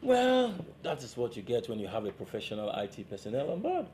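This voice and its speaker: A man's voice: medium pitch, huge pitch swings, a little fast, darkish tone, slight background noise. An actor playing an easygoing, self-assured married IT man chatting with a colleague in a stage play. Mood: pleased.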